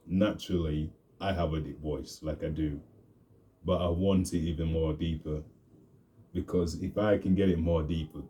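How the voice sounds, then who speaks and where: Deep-voiced laid-back young man. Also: dark tone. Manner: deliberately deepened, laid-back voice. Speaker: vlogger. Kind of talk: rambling casual monologue.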